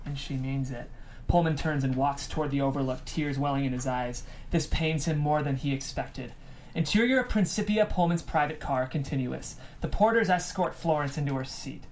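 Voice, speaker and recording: A woman with a low-pitched voice hosts a script reading. She narrates and interviews, with clear noise in the background. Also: moderate pace, darkish tone. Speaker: host and stage-direction narrator.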